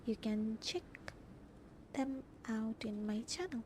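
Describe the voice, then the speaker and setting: A woman's voice: a little high, expressive, takes it slowly, background noise. A woman from India with an Indian accent, chatting casually as she live-streams a video game. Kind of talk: casual live game commentary, reading dialogue choices aloud.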